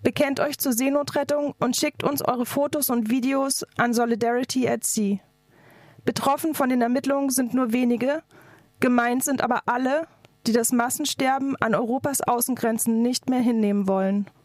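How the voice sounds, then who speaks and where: High-voiced woman giving an activist speech. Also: fairly even intonation, normal speed, a little mellow, no room sound, clean studio sound. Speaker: activist speaker. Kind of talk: scripted political rally speech.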